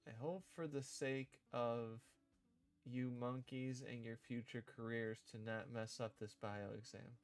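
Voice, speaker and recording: Slow-paced man thinking out loud. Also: mid-range voice, little pitch movement, clear, resonant tone, faint music behind. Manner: halting, thinking out loud, repeating and rewording lines. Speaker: streamer developing own visual novel. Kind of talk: casual livestream, reading and drafting script aloud.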